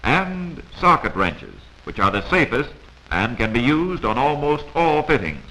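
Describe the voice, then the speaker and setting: A man's voice: mid-range voice, sing-song, a little slow, pushed and bright, low-quality recording. An American man narrating a scripted 1940s instructional film in a didactic, admonishing manner, heard on an old film soundtrack.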